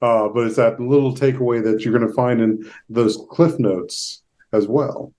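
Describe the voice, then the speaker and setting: A man hosting a casual interview, talking in a halting, discursive way. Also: mid-range voice, dark tone. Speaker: podcast host.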